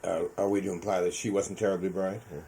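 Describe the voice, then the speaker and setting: A man interviews someone in a conversational way. His voice is low and creaky, with a dark, mellow tone, over light background noise. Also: flat delivery, quick pace. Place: indoors, photographer's studio.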